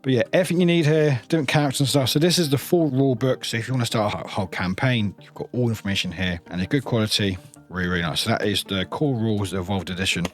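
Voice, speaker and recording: A man's voice: fairly low pitch, fast, soft background music. A man presenting an unboxing in a casual show-and-tell style, with informal, loosely phrased and appreciative commentary. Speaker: product reviewer, unboxing host. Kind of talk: casual show-and-tell commentary, sometimes reading blurbs aloud.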